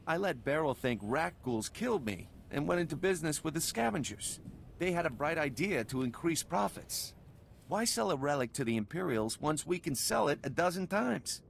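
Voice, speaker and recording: A cynical, money-minded smuggler from a video game. His voice sits a little high and crackles with heavy creak. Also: expressive, normal speed. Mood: smug, scornful. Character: greedy cynical male smuggler turned counterfeiter.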